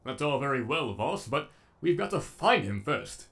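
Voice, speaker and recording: A man's voice: mid-range voice, big pitch swings, moderate pace, vocal fry, a bit bright. A man voicing a sadistic, erudite robot villain with an eloquent, menacingly polite delivery, as in a comic dub. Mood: cold, brisk.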